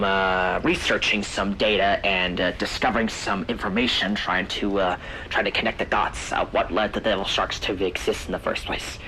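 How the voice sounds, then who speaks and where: A man delivering an in-character theory monologue for a found tape, explaining earnestly in a halting, rambling way with repeats. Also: lowish voice, pushed and bright, slight background noise, rough recording. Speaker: narrator of an analog horror video.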